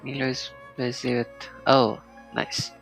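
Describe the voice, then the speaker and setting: A man livestreaming a game with casual commentary, talking in halting, muttered fragments. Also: mid-range voice, level tone, creaky, a bit bright, soft background music. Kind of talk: casual live gaming commentary.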